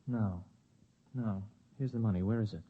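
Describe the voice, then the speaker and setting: A man's voice: lowish voice, normal speed, husky. An actor playing a blunt young ex-spaceman who acts in and narrates a radio drama in a plain-spoken way. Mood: puzzled, weary. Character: young ex-spaceman hero, blunt, uneasy, narrating his story. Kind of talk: 1950s radio drama acting and first-person narration. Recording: dull lo-fi vintage radio recording.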